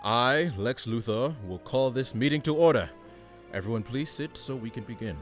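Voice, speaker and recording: A man's voice: medium pitch, animated, moderate pace, close to an everyday voice. A man acts out a bombastic figure from a superhero radio serial. He is grandiose and theatrical with a sarcastic, easily exasperated edge, and music plays faintly behind him. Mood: pompous, sarcastic. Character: bombastic radio announcer turned petty, exasperated, pompous supervillain leader. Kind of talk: old-time superhero radio play parody.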